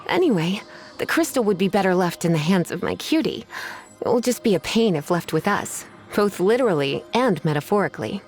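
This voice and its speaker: A woman's voice: lowish voice, sing-song, a little fast, a little mellow, soft background music. A woman playing a laid-back, sultry librarian mage in a video game, languid and teasing. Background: game score.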